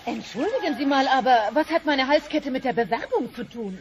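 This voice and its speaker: Confident lady dinosaur for comedy audio drama; high, animated, sharp-tongued. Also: brisk, noisy background. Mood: indignant. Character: self-assured lady brontosaurus seeking a job. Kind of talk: comedic audio play dubbed from a TV sitcom.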